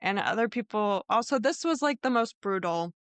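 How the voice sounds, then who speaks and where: A woman's voice: mid-range voice, expressive, normal speed, dry recording. A woman hosting a podcast, telling true crime stories in an informal, conversational way with a hedging manner. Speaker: podcast host.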